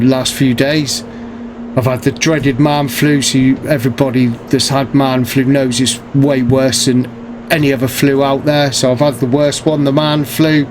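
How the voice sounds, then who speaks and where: A man with a cold, sounding bunged up and croaky, talking casually to camera about his paint spraying work with many ums and restarts. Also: medium pitch, background noise. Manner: relaxed, hesitant, many fillers and restarts. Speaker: paint sprayer, channel host.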